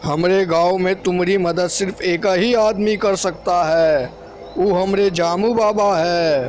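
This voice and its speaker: A man voicing a folksy village man in a cartoon horror story, with a dramatic drawn-out delivery. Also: high-pitched, fairly even intonation, a little mellow. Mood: helpful. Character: rustic village man, alarmed, warning of witches.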